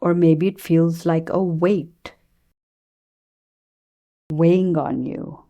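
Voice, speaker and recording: Female meditation teacher. Soft-edged warm tone, very slow pacing, gentle and soothing, leaves long pauses. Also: fairly low pitch, steady tone, muffled recording. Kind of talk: guided meditation.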